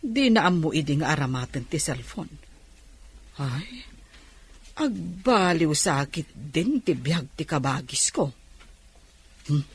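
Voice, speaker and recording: A bossy, envious woman of radio comedy , acted by a woman. Her lowish, husky voice swoops widely as she exclaims and grudges with a sharp tongue. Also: big pitch swings, slow, pauses often, heavily acted. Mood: envious muttering. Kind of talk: comedic radio drama acting.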